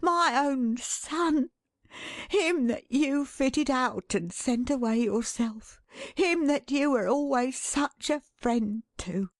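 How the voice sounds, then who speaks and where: A woman's voice: on the low side, a little slow, darkish tone, no room sound, clean studio sound. A woman voicing a humble, tender-hearted father in a storybook reading, with an emphatic, emotional delivery. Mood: exultant. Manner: exultant, trembling, emphatic. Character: humble, tender-hearted father, overjoyed at his son's return. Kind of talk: dramatised classic storybook reading.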